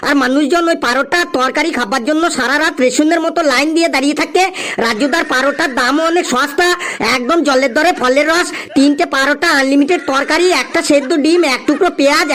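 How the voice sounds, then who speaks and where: A man's voice: very high voice, a bit bright. A man voicing a cheeky, food-obsessed schoolboy in a comic cartoon, reciting eagerly in a sing-song way.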